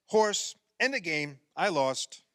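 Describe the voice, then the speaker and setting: A man's voice: on the high side, sing-song, takes it slowly, fairly bright tone, dry recording, clean studio sound, full character voice. A man playing a sardonic husband with wounded pride in a comedic radio play, sarcastic and dramatic. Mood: wry, defeated. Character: sardonic husband, sore loser at backyard basketball.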